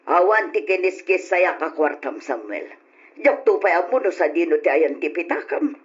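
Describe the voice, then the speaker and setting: A woman's voice: lowish voice, big pitch swings, moderate pace, muffled recording. An actress playing a harsh, impatient mother, scolding in an old-style radio drama. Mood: defensive. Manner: scolding, exasperated.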